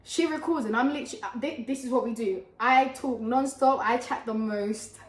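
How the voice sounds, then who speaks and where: Woman from Colombia, young, loud, hyper, casual co-host, highish voice, echoey room. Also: moderate pace. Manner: loud, hyper, laughs often. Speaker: podcast co-host. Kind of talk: casual chat.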